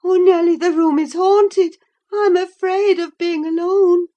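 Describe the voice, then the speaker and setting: A woman reading an audiobook, voicing a delirious, frightened young woman in a high, breathless, anxious voice. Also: takes it slowly, dark tone, lo-fi sound. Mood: terrified. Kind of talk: audiobook character voice.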